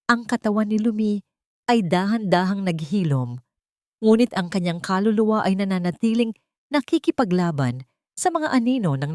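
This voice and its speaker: A woman narrating a dramatic audio story in a measured, scripted way. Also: medium pitch, darkish tone, dry recording, studio quality.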